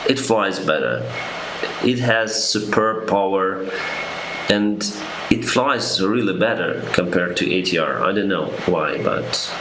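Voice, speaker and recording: A young man, an airline pilot answering viewer questions on a live stream, reading each one out and replying with frequent pauses and ums. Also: lowish voice. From Ukraine. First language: Russian. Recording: echoey room with background noise.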